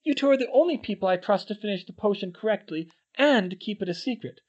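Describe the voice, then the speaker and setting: A man reading as an anxious, bookish teenage schoolgirl witch from a storybook, pitched well above his own voice. She speaks high and earnest, her pitch swinging widely, and the recording sounds dull and lo-fi. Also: animated, quick pace, a little mellow. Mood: frightened, pleading. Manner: high, earnest, tearful pleading.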